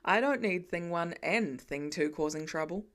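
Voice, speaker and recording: A woman voicing a sarcastic grown man, a superhero dad, in a dry, flat, deadpan way for an audiobook story. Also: fairly low pitch, normal speed, pitched below the performer's own voice, close to an everyday voice.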